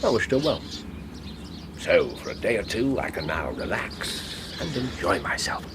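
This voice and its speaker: A shrewd, urbane detective in a radio play, deep-voiced and very animated. He is wry and playfully evasive, heard over clear background noise. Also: unhurried. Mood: wry, coy. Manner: urbane, wry, feigning innocence. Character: shrewd, playfully evasive male English detective, middle-aged. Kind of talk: radio drama acting.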